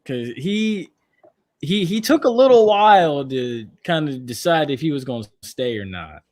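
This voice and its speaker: A man's voice: fairly high pitch, lively intonation, measured pace, darkish tone. Young male podcast host chatting sports. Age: 20s. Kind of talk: casual sports chat.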